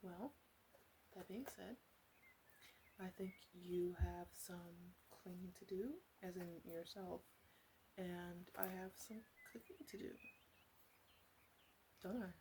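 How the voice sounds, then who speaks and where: A woman playing a flirty, teasing witch in an ASMR roleplay, speaking softly and haltingly with some whispering. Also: lowish voice, steady tone, a little hiss or hum. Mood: playful. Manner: soft-spoken, teasing, halting, partly whispered. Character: flirty teasing female witch tending a tipsy guest.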